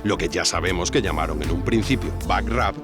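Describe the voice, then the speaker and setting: An actor playing an earnest investigative documentary narrator with an accent from Spain, reading scripted narration. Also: male voice, low voice, fairly quick, music in the background, close to an everyday voice. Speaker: narrator, host.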